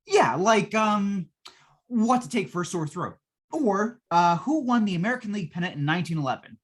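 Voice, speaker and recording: A woman's voice: medium pitch, very animated, normal speed. A woman playing a folksy, long-suffering salesman in a 1950s-style radio drama, giving colloquial, patient sales patter. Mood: eager, explanatory.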